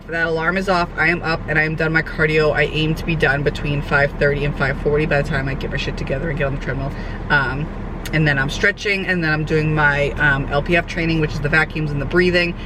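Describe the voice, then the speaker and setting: A young woman, a fitness trainer, chats casually in a vlog update, her voice hoarse and nasal from a cold. She rambles with lots of fillers. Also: sits low. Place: sitting outdoors, traffic nearby. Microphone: camera mic. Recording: outdoor camera audio with traffic noise.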